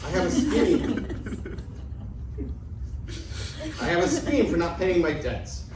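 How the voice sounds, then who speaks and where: A man plays a bumbling, debt-ridden old pupil with a fairly high, plaintive voice, lamenting theatrically in a staged comedy reading. He sounds distant from the mic in an echoey room, with clear noise around him. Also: sing-song, slow pace, full character voice. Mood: scheming. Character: woeful old debtor turned bumbling pupil. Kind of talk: amateur staged reading of ancient Greek comedy.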